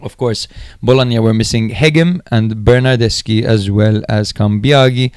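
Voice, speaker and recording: A man's voice: mid-range voice, normal speed, a little mellow, smooth, dry recording, studio quality. A man co-hosting a podcast, recapping and analysing football matches in an informal, chatty way. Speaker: podcast host.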